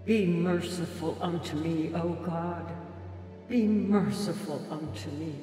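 A cavernous reverb and music surround a solemn scripture reader. His voice is fairly high and very measured, intoning old biblical verse reverently for a radio drama. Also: darkish tone.

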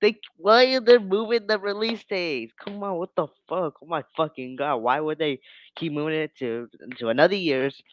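Casting: fed-up male gamer pal acted by a man, medium pitch, complaining, dry and slightly dull recording. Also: moderate pace, no room sound, lightly acted. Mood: frustrated. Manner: exasperated, complaining.

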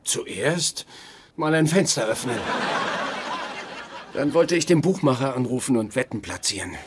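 An actor playing a hapless, complaining gambler in a dubbed sitcom, hesitant and put-upon. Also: male voice, medium pitch, animated, unhurried, heavy background noise, close to an everyday voice. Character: hapless, whiny gambler under another's orders.

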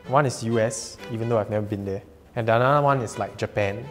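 A man's voice: fairly low pitch, fast. A young man in his twenties with a Singaporean accent, chatting playfully and joking on a blind date in a studio, on a lapel mic. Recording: music bed and long reverb.

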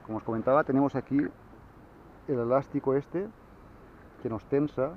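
A man explaining kayak sailing in a relaxed, casual way, with fillers, speaking from a kayak at sea into an action camera. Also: mid-range voice, normal speed. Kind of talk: casual how-to explanation on the water. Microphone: action camera mounted on the kayak. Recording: dull lo-fi camera audio, faint wind.

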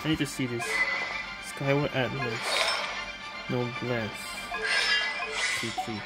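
A woman's voice: a bass voice, steady tone, takes it slowly. A woman game streamer giving casual gameplay commentary. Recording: loud game music behind. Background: video game music.

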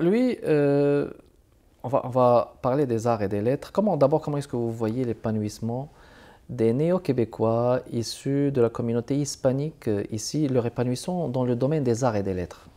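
Formal, mellow-voiced male TV host. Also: mid-range voice. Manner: formal, measured presenter delivery. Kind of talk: arts interview hosting. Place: TV studio.

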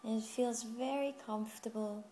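A woman's voice: a little high, steady tone, dark tone. Woman guiding meditation over music. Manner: soothing, unhurried, with long pauses. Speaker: yoga and meditation teacher. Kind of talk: guided meditation. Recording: music playing behind the voice.

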